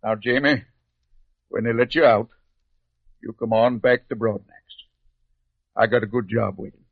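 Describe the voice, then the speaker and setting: An actor in an old-time radio drama playing a blunt, impatient rural planter with a folksy, clipped, bossy manner. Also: male voice, fairly low pitch, steady tone, normal speed, creaky, husky. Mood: commanding. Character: blunt, impatient rural male planter, plain-spoken, no-nonsense. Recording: muffled vintage radio recording.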